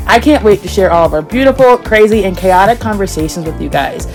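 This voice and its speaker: A woman's voice: mid-range voice, expressive, brisk, a little mellow, soft background music. Playful woman leaving a voice message. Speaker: returning podcast guest. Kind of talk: recorded voice message.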